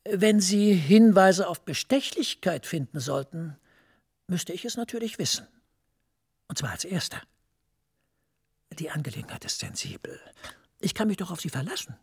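An actor playing a scheming professor in a radio drama, speaking in a hushed, confiding way that often drops to a whisper. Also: male voice, sits high, breathy edge, heavily acted. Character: scheming male professor, smooth-talking superior.

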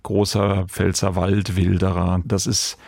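A man's voice: low-pitched, flat delivery, measured pace, creaky, a little mellow, no room sound, studio quality. A man hosting a true crime podcast in a conversational discussion style. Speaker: podcast host.

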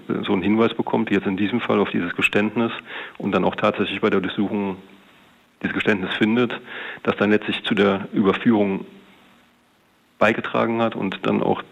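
A man's voice: on the low side, gravelly, muffled recording. A middle-aged man, a police detective, giving a wordy, hesitant, matter-of-fact account in an interview.

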